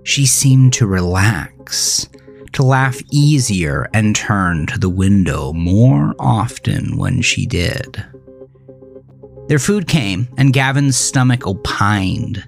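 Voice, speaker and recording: A low male voice narrates a dark thriller story at a slow, deliberate pace. The delivery is measured and scripted, and faint music plays underneath. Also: expressive, creaky.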